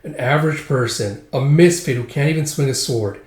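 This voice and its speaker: A man's voice: mid-range voice, brisk, lightly acted. Man as a cold, surly swordsman, echoing room. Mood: dismissive. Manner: blunt, dismissive. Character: cold, surly, scornful male lone swordsman. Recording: roomy echo.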